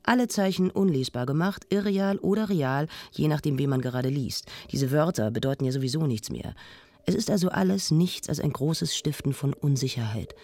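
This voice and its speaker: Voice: medium pitch, normal speed, darkish tone, no echo, lightly acted. An actor playing a searching, anguished intellectual discussant in a radio drama, probing and emphatic. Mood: despairing.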